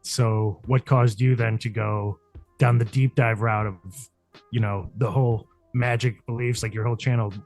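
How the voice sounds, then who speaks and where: A podcast host with a gravelly, monotone voice who talks loosely, swears offhand and leans on "like" fillers. Faint music hums underneath him. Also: male voice, mid-range voice, normal speed. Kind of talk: casual podcast chat.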